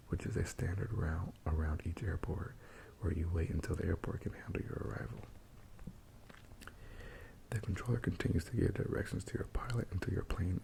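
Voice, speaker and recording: A man whispering and speaking softly as he reads aloud for ASMR, hushed and gentle, with a breathy sound. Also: sits low. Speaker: ASMR host. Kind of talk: ASMR, whispered and soft-spoken article reading.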